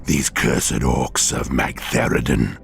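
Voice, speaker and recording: Ancient alien elder, extremely low, heavy creak, solemn, bitter, slow, fantasy game. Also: male voice, steady tone, faint music behind, full character voice. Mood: grave, bitter. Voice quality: very gravelly, creaking. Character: old male Draenei sage, grave and bitter. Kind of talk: dramatic video game acting.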